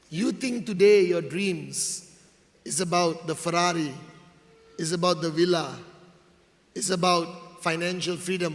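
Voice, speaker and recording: Man, Malaysian accent, motivational speaker, slow deliberate pace, emphatic repetition, storytelling to an audience, long hall reverb. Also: high-pitched, a bit bright. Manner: emphatic, repeats phrases, checks in with listeners.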